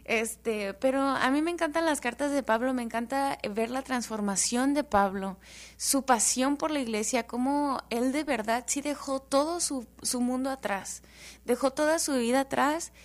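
High-voiced woman from El Paso, animated. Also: moderate pace. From El Paso, Texas. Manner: animated, joking, warm testimony. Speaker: guest.

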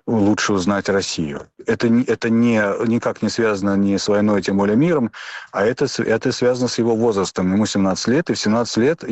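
A man's voice: on the low side, darkish tone, dry recording. A middle-aged man from Russia answering interview questions, thoughtful and hesitant, with frequent restarts and fillers. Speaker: guest.

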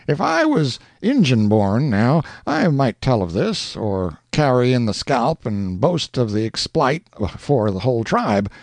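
A backwoods hunter speaks in grand, flowery phrases, read out by a man in a raised voice. The lines rise and fall with wide swings, but a country drawl slips through. The tone stays soft and dark, close and dry. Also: mid-range voice, animated, slow pace, no echo, voice pushed up. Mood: earnest. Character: grand-talking frontier woodsman, man, young adult.

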